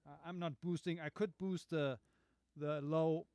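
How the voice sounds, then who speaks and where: A man, a bass player co-hosting a studio livestream, talking in an easygoing way with frequent pauses and restarts. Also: fairly even intonation, mellow, dry recording. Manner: halting, many ums and restarts, easygoing. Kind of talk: casual livestream chat. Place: recording studio.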